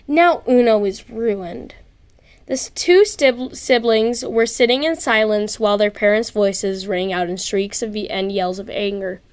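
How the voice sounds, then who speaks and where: A woman's voice: medium pitch, measured pace, smooth. A woman narrating a story expressively as an amateur reader, sometimes stumbling and rereading words.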